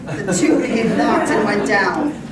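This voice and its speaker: A woman's voice: medium pitch, big pitch swings, a little slow. A woman giving dramatic scripted narration for an old-time radio play, performed live on stage. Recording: roomy, distant, very noisy live stage recording.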